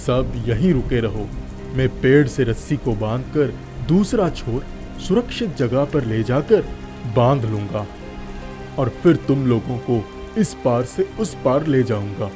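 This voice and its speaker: A man acts out a brave village uncle from an animated moral story. He is protective and reassuring, stressing his words firmly in a mid-pitched voice. Music plays clearly behind him. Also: unhurried, natural voice. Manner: reassuring, emphatic.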